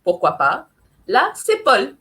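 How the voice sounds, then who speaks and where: A woman's voice: a little high, huge pitch swings, slow, bright, forceful tone. Playful woman. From Portuguese-speaking country. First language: Portuguese. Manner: playful, teasing, self-mocking. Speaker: author guest. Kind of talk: casual live chat.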